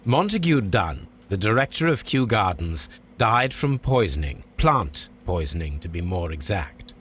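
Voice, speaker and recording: A Victorian English gentleman detective with a low, very animated voice. He is precise, formal and supremely self-assured, probing as he speaks in the manner of a video game cutscene. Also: a little slow, slight background noise, exaggerated voice.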